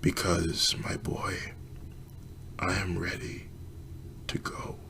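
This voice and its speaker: A man voicing a frail, dying middle-aged woman who speaks in a weak, slow, whispery voice. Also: low voice, flat delivery, gravelly, bright, forceful tone, exaggerated voice. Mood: weak, resigned.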